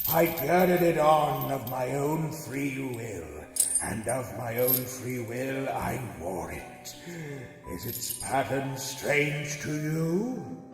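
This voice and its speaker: An actor playing the chained ghost of an old man, tormented and doomed, speaking in a slow, wailing, solemn way. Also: mid-range voice, animated. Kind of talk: radio play acting. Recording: echoey hall reverb with faint music.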